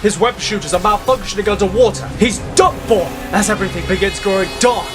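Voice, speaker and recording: A man with a fairly high-pitched voice narrates a superhero audio drama over clear background music. His delivery is theatrical and vivid, like dramatic scripted storytelling. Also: a little fast.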